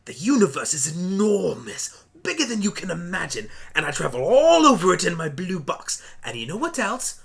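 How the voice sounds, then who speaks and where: A woman's voice: lowish voice, very animated, moderate pace, vocal fry, few pauses, husky, voice pushed up, heavily acted. A woman voicing an eccentric, kindly male alien pony doctor, musing theatrically in a fan radio play. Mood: enticing.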